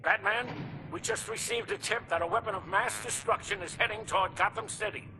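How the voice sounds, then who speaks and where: An actor playing an earnest, guarded police ally in a video game, grave in manner. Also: male voice, high-pitched, a little fast. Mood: urgent. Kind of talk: video game cutscene acting. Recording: clear background noise.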